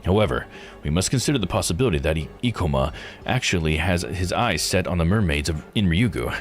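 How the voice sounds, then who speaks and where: A man voicing a formal, calculating investigator from a visual novel, reading dialogue and lore deliberately. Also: low voice, lively intonation. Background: music.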